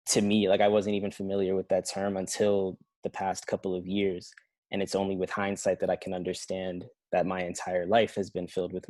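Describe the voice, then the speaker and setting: Young guy, low even voice, dry, kinda hesitant, lots of um and like. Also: no room sound. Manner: reflective, hesitant, many ums and likes. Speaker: participant. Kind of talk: casual group discussion.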